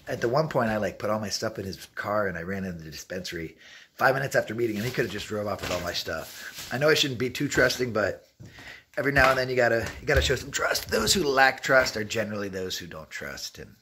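A man in his thirties with a rough voice, telling rambling, streetwise stories casually to the camera and acting out bits of dialogue. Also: on the low side, a little hiss or hum. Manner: rambling, streetwise, animated retelling with quoted dialogue. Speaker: travel vlogger. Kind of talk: casual storytelling vlog, talking to camera. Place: inside an open derelict wooden shack. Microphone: phone or camera at arm's length.

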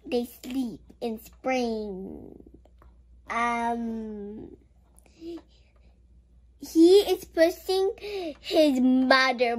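Childlike voice, picture-book read-aloud, very slow, lively sing-song pitch, halting, exclaiming. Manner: childlike, exclaiming with gasps and giggles. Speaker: children's show host.

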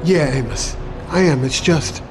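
An uneasy, high-pitched working man. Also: animated, unhurried, over music. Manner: folksy, informal, anxious. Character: uneasy but stubborn male former slag-pit worker, plain-spoken. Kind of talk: post-apocalyptic audio drama acting.

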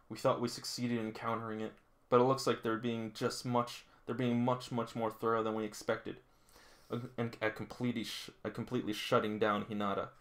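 A man's voice: medium pitch, fairly bright tone. A man reading a manga aloud with casual commentary, sometimes tripping over words and going back over them. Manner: stumbles and rereads lines, chuckles at the story. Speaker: manga read-along host. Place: small indoor room with figurines.

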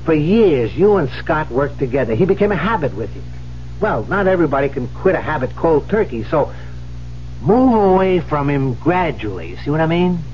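An actor playing a pushy, persuasive friend in a 1970s radio drama, speaking with urgency. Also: male voice, fairly high pitch, very animated, normal speed. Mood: insistent. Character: insistent, pushy male friend coaxing a widow. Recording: muffled, faintly noisy old radio broadcast.

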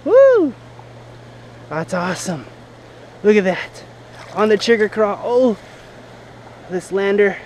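Excited man fishing by a river. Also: high voice, slow pace, pauses often, a little hiss or hum. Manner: excited, narrates gear while fishing, whoops and laughs. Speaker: fishing vlogger. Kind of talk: casual outdoor fishing vlog. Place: outdoors on rocks by a river. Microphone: head-mounted action camera.

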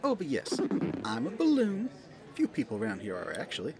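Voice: medium pitch, huge pitch swings, a little fast, darkish tone, faint music behind. An amateur actor voicing a flirty, motherly pink balloon elephant who serves at a soda bar, with a folksy, coaxing manner in an audio play. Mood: flirty, playful. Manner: folksy, coaxing, calls listener sweetie and dear. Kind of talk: amateur audio play, second-person roleplay monologue.

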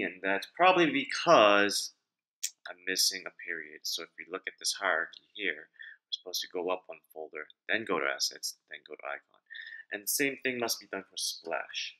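A man's voice: sits low, rough recording. A young man casually talking through a coding tutorial at his desk, explaining each step as he types. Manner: talks through steps while typing, relaxed and informal. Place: indoors at a desk, small room.

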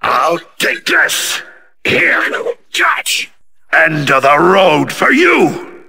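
A man voicing a noble, grave robot commander in a video game, solemn, commanding and measured. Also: a little high, wildly swooping pitch, vocal fry, sharp tone, full character voice. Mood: battle fury.

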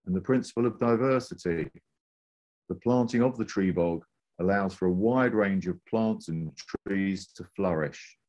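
A man's voice: sits low, normal speed, a little mellow. A man narrating a scripted slide presentation as a presenter.

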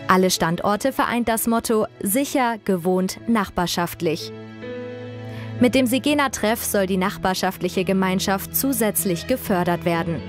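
A woman's voice: mid-range voice, unhurried. Female voice-over, polished documentary read over music. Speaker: narrator. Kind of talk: scripted documentary narration.

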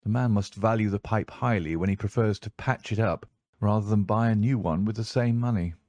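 An assured, analytical gentleman detective played by a male narrator in an audiobook. He speaks precisely, as if lecturing, in a fairly low voice with very little room sound. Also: brisk, dry recording, muffled recording, natural voice. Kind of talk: audiobook character voice.

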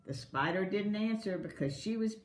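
An older woman reading a picture book aloud to young children in an animated storytelling manner. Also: medium pitch, moderate pace, slight background noise. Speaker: volunteer story reader. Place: dining room at home, indoors. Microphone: camera across the table. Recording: roomy home camera sound.

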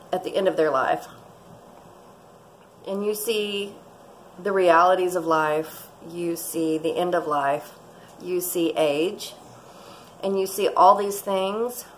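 A woman's voice: on the low side, poor audio. Lady in her 40s chatting away in her kitchen, rambly, laughs a lot, close-up camera, bit of background hum. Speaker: vlogger. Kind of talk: casual cooking vlog chat. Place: home kitchen, indoors. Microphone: fixed camera at close range.

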